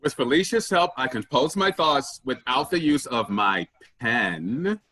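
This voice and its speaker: A man's voice: medium pitch, expressive, unhurried, sharp tone, no echo, studio quality. A man in a staged play reading, playing a flustered, formal, tense office boss.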